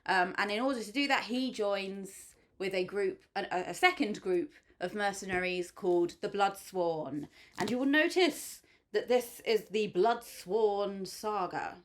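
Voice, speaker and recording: A woman's voice: mid-range voice, measured pace. A woman talks through a book she loves in a gushing, chatty way. Her speech is loose and full of ums and likes as she reviews it for her channel. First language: English. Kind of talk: enthusiastic spoken book review.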